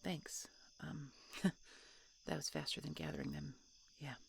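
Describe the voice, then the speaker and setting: A woman playing a guarded, tsundere dragon hunter in an intimate fantasy audio roleplay, halting and grudging, often dropping to a hushed delivery. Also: low voice, very animated, creaky, in a whisper, full character voice. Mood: awkward, softening. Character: prickly tsundere female dragon hunter, guarded but softening.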